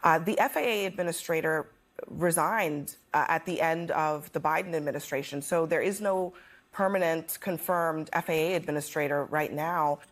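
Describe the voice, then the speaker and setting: Female news anchor, notably low voice, measured and serious live interview questioning.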